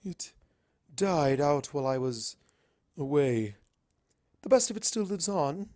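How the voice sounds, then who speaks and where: A man's voice: fairly high pitch, expressive, takes it slowly, darkish tone, no echo, studio quality. A man voicing a coy, regal female pony princess in a fan fiction reading. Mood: defensive, earnest.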